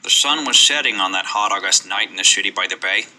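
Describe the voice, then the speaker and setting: Male amateur actor as a hard-boiled noir detective, low pitch, very sharp forceful tone, deadpan, world-weary radio play narration. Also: fast talker, poor audio.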